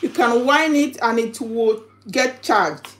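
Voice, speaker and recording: Slow, higher-voiced woman vlogger, halting delivery. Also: a little hiss or hum. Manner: halting, repetitive, explanatory. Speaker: vlogger presenting product unboxing. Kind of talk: casual unboxing vlog chat.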